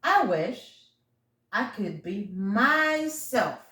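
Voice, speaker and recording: A woman voicing a small, wistful picture-book chameleon in a wishful, drawn-out way. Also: medium pitch, sing-song, bright, forceful tone, exaggerated voice. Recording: roomy, echoey sound.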